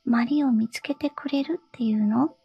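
A woman voicing a tearful, pleading young princess in a soft whisper for a storybook reading. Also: a little high, moderate pace, gravelly, slightly rough, no room sound, lo-fi sound, faint music behind.

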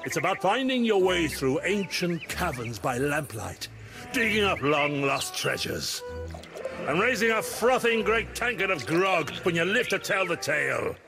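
A hearty old pirate ghost from a video game, speaks like a theatrical storyteller and welcoming mentor. The pitch rises and falls in lively swings, with clear music playing underneath. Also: male voice, a little slow, heavily acted. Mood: rousing. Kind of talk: scripted video game character acting.

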